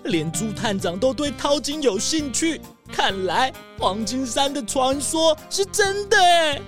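一位女演員在兒童廣播劇中扮演一隻不慌不忙、隨和的公穿山甲。. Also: female voice, high-pitched, animated, measured pace, faint music behind. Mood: impressed. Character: unhurried, laid-back male pangolin tourist. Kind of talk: children's detective audio drama acting.

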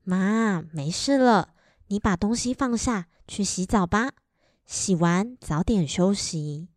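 A woman's voice: mid-range voice, animated, slow pace, darkish tone, no echo, clean studio sound. A woman acting a sensible middle-aged mother in a radio drama, with a Taiwanese accent and a placating, practical manner.

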